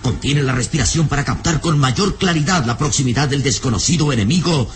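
An actor as a grave, suspenseful narrator, delivering emphatic scripted radio serial narration. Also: male voice, medium pitch, a little fast, slight background noise, lightly acted.

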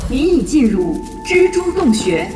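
A woman voicing a polite game system announcer in a radio drama, formal and measured. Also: sits high, very animated, echoey room, over music. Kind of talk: scripted game notifications.

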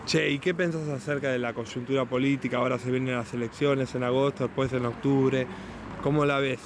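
A man's voice: mid-range voice, steady tone, a little fast. A man with a Rioplatense Argentine accent asking questions in a casual, conversational interview. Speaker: radio interviewer. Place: busy brewery bar hosting an event. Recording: crowded venue with urban background noise. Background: crowd and street noise.

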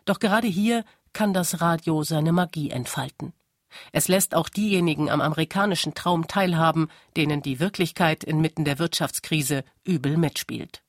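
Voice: medium pitch, moderate pace, no room sound, studio quality. A narrator reading a scripted radio documentary.

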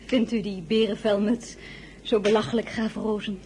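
A woman playing a gracious but worried crown princess in a radio drama, soft-spoken and a little hesitant under strain. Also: medium pitch, level tone, normal speed, slight background noise, close to an everyday voice, rough recording. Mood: weary, gracious. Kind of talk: radio drama acting with diary narration.

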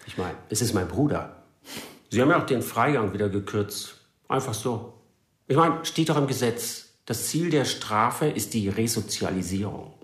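An actor playing an aggrieved long-serving prisoner in a radio crime drama, grumbling and pedantic, in an echoey room. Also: male voice, fairly low pitch, lively intonation, moderate pace, lightly acted.

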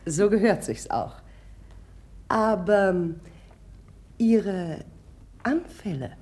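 An actress in a 1950s German radio play, playing an imperious, blunt middle-aged general's wife with a commanding, capricious manner. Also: female voice, medium pitch, expressive, very slow, darkish tone. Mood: blunt, curious.

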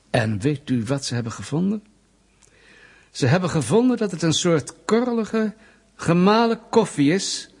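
Husky, smug, suspicious state interrogator. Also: male voice, a little high. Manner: pedantic, sardonic, insistent questioning. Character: suspicious, smug Soviet state security interrogator, menacing and petty. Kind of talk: Dutch radio play acting.

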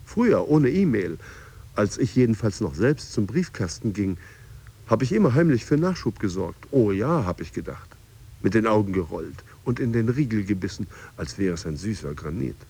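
An actor playing a stressed male office employee in coaching, with a husky, creaky voice, in a radio drama. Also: on the low side, sing-song, moderate pace, warm tone, heavily acted. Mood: confessional. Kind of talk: radio drama monologue and chanted affirmations.

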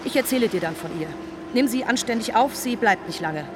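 An actress playing a brisk, bossy wife voicing a letter in a German radio drama. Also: female voice, a little high, lively intonation, noisy background, natural voice. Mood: breezy, bossy.

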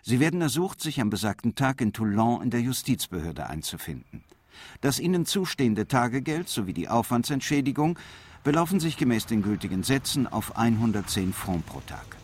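An actor playing an official who reads out a formal letter in a radio drama, measured and officious. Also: male voice, medium pitch, very animated, a little mellow, breathy edge. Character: male official reading out a formal summons.

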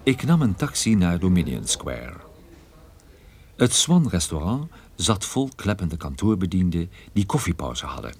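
An actor playing a world-weary private detective, narrating his case in a radio play with dry, unhurried wit. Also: low-pitched, sing-song, husky, full character voice. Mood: wry, detached. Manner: dry, wry, unhurried storytelling. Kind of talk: radio drama first-person narration.

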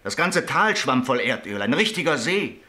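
Young son, cocky and enthusiastic, medium pitch, classic radio play style. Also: male voice, big pitch swings, moderate pace, pushed and bright. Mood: excited. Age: young adult. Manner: breezy, eager. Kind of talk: German radio drama acting.

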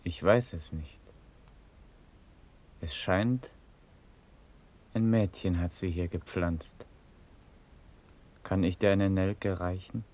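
A courteous officer in a classical verse radio play. The voice is deep and unhurried, calm and formal, gently attending to a prince. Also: male voice. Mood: gentle. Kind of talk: German classical verse radio drama acting.

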